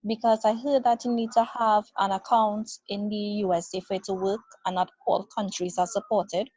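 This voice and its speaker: A woman's voice: mid-range voice, flat delivery, fairly quick, darkish tone, no echo. A woman from Trinidad politely asking a question on a video call. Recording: dry, dull video call audio.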